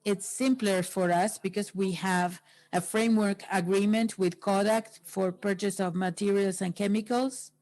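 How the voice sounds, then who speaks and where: A woman interpreting a workshop into English, pausing often between phrases. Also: mid-range voice, steady tone, a little mellow.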